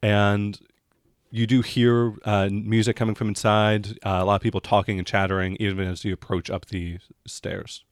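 A man narrates a tabletop game as its game master. His voice sits fairly low and is recorded very dry, with an informal, improvised delivery. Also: level tone, normal speed, no echo.

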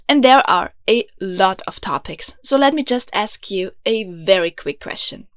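A young woman from Austria with an Austrian accent, a native German speaker, hosting her own podcast in an earnest, confiding way and sometimes dropping into soft, low tones. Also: dry recording, clean studio sound. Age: young adult. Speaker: podcast host. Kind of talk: scripted podcast monologue. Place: small closet.

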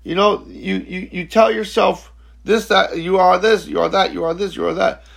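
A man in his forties giving a slow, reflective spiritual teaching talk, halting and repeating words, often checking in with "okay?". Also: high-pitched, steady tone, vocal fry. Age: 40s. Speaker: spiritual speaker.

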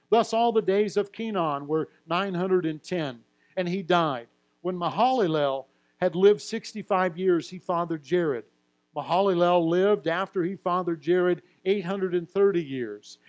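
A man preaching a teaching sermon, reading a genealogy aloud and explaining it with light humor. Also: high voice. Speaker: pastor. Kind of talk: sermon teaching through scripture.

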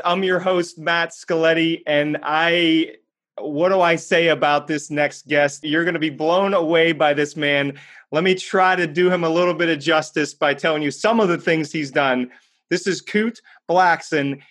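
A man's voice: fairly high pitch, fairly bright tone. A man hosting a podcast, introducing his guest in an upbeat, effusive way. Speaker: podcast host.

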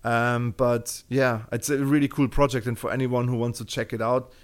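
A man's voice: mid-range voice, flat delivery, normal speed. Guest, male, German accent, casual and rambling, lots of fillers. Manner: rambling with many fillers and restarts. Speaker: podcast guest. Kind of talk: casual interview talk.

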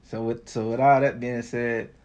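A man hosting a laid-back podcast with a mellow, dark voice at a middling pitch. He is relaxed and encouraging as he asks his questions. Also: normal speed, dull audio. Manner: relaxed, affirming, laughs along with his guest. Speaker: podcast host. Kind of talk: casual podcast interview.

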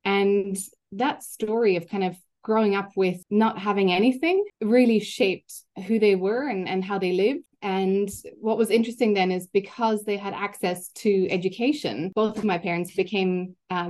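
A woman's voice: mid-range voice, moderate pace, hardly any pauses, a little mellow. A woman in her thirties from Germany, with a German accent, who speaks as a guest in an earnest, articulate way and often repeats a word when she restarts. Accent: German, with time in Ireland. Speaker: guest, learning innovation executive. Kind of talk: reflective interview talk.

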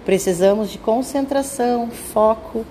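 A woman with a Brazilian accent leading a guided meditation in a calm, soothing, instructing manner with drawn-out phrases. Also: on the high side, slight background noise.

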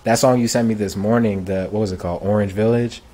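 Low-voiced laid-back podcast host. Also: male voice, fairly even intonation, quick pace, mellow. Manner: laid-back, lots of "like" and "I feel like". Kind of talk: casual music podcast chat.

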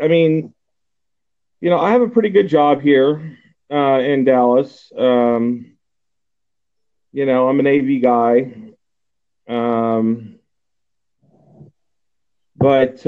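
Rambling man in his forties, tinny line. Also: mid-range voice. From Texas. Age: 40s. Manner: rambling, many fillers and restarts. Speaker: podcast host. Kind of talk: casual chat.

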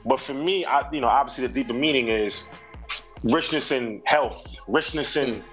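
A man from Brooklyn with a New York accent, in his thirties, speaking at an ordinary middle pitch. He talks in a relaxed, reflective way, heavy with slang and little fillers. Faint music plays in the background. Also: moderate pace, low-quality recording. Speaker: rapper and singer, guest. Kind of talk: casual interview chat.

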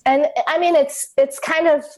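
A woman's voice: high voice, fairly even intonation, moderate pace, darkish tone. Reflective Taiwan-born woman, remote interview guest. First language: Chinese. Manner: reflective, many fillers and restarts. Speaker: guest, author and podcaster. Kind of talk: conversational interview.

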